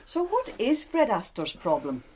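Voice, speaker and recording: Opinionated wife gently needling, radio play, kinda high and breathy, lots of up and down. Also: female voice, huge pitch swings, a little slow, exaggerated voice. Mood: concerned, reassuring. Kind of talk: BBC radio drama acting.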